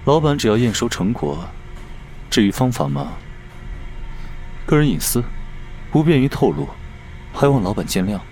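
A man playing a cool, fastidious alien parasite posing as a human in an audio drama, detached and disdainful. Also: medium pitch, lively intonation, darkish tone, faint music behind, natural voice. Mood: mock polite. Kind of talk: audio drama dialogue and inner monologue.

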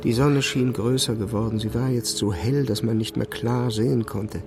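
An actor playing an ailing, weary first-person narrator in a literary radio play, measured and introspective. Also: male voice, mid-range voice, fairly even intonation, noisy background, lightly acted. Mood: strained, measured. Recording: music bed behind narration.

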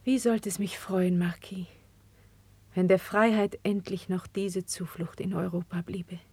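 A breathy queen of classical radio drama, dignified and guarded. She speaks formal verse in a hushed, stately way. The sound is dry, with hardly any room around her. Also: fairly low pitch, fairly even intonation, measured pace, no echo. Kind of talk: classical German radio drama acting, 1950s.

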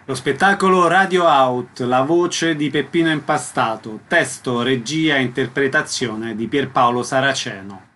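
A male radio presenter reading station announcements in a steady, plain way. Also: medium pitch, measured pace, few pauses.

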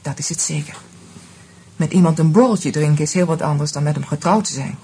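An actress playing a bitter, independent divorced wife in a radio play, speaking in a measured, pointed way. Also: female voice, sits low, mellow, breathy edge. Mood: defiant, composed. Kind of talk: naturalistic radio drama dialogue.

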